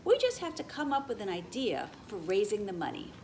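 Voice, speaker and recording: A woman voicing a patient female elementary teacher in a children's storybook, explaining things clearly and emphatically. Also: medium pitch, huge pitch swings, a little fast, a little mellow, slight background noise. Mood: reassuring. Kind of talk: children's storybook read-aloud.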